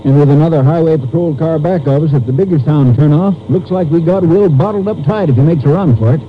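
An actor playing a folksy, plain-spoken small-town Texas sheriff, a dogged sidekick in a 1950s radio drama. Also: male voice, fairly high pitch, quick pace, natural voice. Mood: alert, confident. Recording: dull 1950s radio recording, faint noise.

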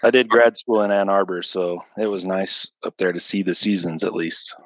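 Brief: male podcast host, low voice, dry close sound, friendly guiding manner. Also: fairly even intonation, normal speed, a bit bright, dry recording, poor audio. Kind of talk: casual interview hosting.

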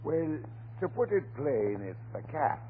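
An actor playing a chatty, stubborn off-duty police sergeant in an old-time radio drama, with a blustering, folksy way of talking. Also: male voice, fairly high pitch, sing-song, measured pace. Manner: blustering, garrulous, folksy turns of phrase. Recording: noisy, narrow-band vintage radio recording.

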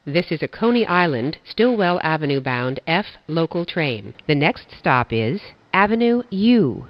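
An automated-sounding subway announcer reading stops and transfers in flat, measured phrasing. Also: medium pitch, dry recording. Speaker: train announcer. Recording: dull lo-fi audio.

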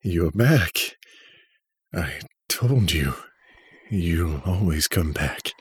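A man playing a menacing, possessive forest demon in an audio drama, with a husky, deep voice and a taunting manner. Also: lively intonation, dry recording, exaggerated voice. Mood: gloating menace. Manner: slow, taunting.

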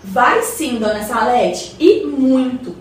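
A woman's voice: on the high side, slow pace. A Brazilian woman playing a well-informed, encouraging neighbour who patiently explains things in colloquial radio drama dialogue. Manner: explanatory, colloquial, addresses her neighbour respectfully. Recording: roomy echo, distant mic, faint noise.

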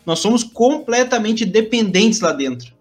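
A young man hosting an interview in an easygoing, casual way, often tagging his sentences with a quick question. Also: sits high, expressive, soft background music. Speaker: host, interviewer.

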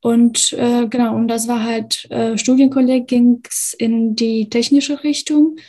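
A woman's voice: on the high side, level tone, slow, dark tone. A young woman from Albania in her twenties, answering interview questions casually with many fillers and restarts. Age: 20s. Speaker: guest, computer science student.

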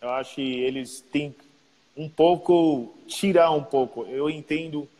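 A man's voice: a little high, measured pace, warm tone. A man with an American accent, a native English speaker, chatting casually in a slangy way full of repeated tags. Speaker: podcast interviewer, basketball player. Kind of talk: casual chat. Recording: long reverb, roomy sound.

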